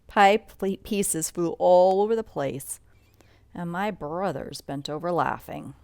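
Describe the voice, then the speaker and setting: Woman reading a bedtime story aloud, lowish voice. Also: takes it slowly, vocal fry, darkish tone, no echo. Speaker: storybook read-aloud reader. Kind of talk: bedtime story read-along narration with asides about pictures.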